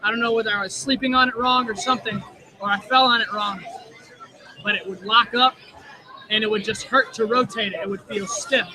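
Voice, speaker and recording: A man's voice: high-pitched, fairly even intonation, fairly quick, pushed and bright, poor audio. A man in his twenties, an injured wrestler, talking earnestly and confidently in a casual interview at a live wrestling show. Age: 20s. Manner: earnest and self-assured, plain talk. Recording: crowd noise at a live wrestling event.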